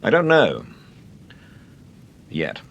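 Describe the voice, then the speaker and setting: An actor playing a calm, probing English gentleman detective in a radio mystery, urbane and understated, with a British accent. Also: sits low, gravelly, dull audio, full character voice. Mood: composed, guarded. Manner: urbane, measured questioning, understated. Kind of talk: radio mystery drama acting.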